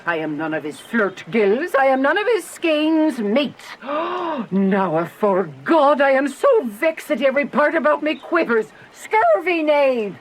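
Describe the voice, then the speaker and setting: A woman's voice: sits high, very animated, a little slow, few pauses, slight background noise. A woman playing a fussy, indignant older serving woman in a Shakespearean radio drama, garrulous and full of huffy exclamations.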